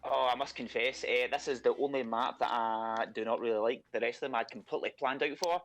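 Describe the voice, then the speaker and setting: A man's voice: mid-range voice, fairly even intonation, quick pace, a bit bright, slight background noise. Chatty Scottish man with thick accent. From Scotland. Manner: chatty, self-deprecating, many "uh" fillers. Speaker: contestant. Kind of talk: casual interview chat.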